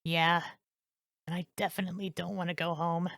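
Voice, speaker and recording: A woman playing an earnest, sweet, awkward teenage warrior in an animated fandub, eager and easily flustered in delivery. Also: lowish voice, moderate pace, gravelly, no echo, studio quality. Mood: casual. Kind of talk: animated fantasy fandub acting.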